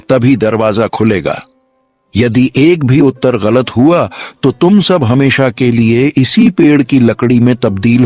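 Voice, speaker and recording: Guy voicing stern old magic tree in a cartoon, mid-pitched, solemn and commanding, music under. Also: a little mellow, close to an everyday voice. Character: stern, ancient magical tree guardian posing riddles. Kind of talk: Hindi cartoon moral-story dialogue.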